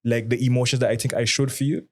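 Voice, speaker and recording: A man's voice: medium pitch, little pitch movement, fast. A man from Saint Martin with a Caribbean accent, chatting casually and reflectively in informal slang as a podcast co-host. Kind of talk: casual conversation.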